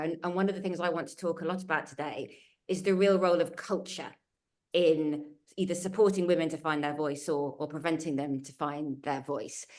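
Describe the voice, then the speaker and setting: Woman on a video call webinar, lowish voice, earnest and emphatic, stacks up lists. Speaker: panelist from a culture change consultancy. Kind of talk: webinar panel talk.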